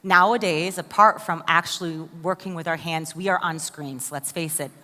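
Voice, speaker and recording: American woman doing a keynote, lowish voice, long echo from a big hall. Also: steady tone, moderate pace, sharp tone. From United States. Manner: enthusiastic, addresses the audience directly with questions. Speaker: conference speaker. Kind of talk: keynote presentation. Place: large conference hall.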